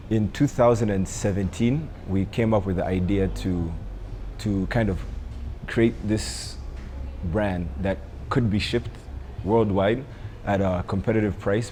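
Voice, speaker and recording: A young man from Rwanda answering interview questions about his coffee business, pausing often with ums and uhs. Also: sits low, warm tone, slight background noise. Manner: hesitant, many ums and uhs. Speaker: coffee entrepreneur.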